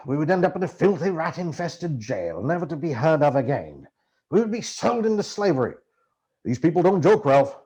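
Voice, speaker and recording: A man reading a memoir aloud as an amateur audiobook narrator, with theatrical, expressive storytelling. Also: a little high, a little fast, slightly rough. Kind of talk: dramatic literary narration of a memoir.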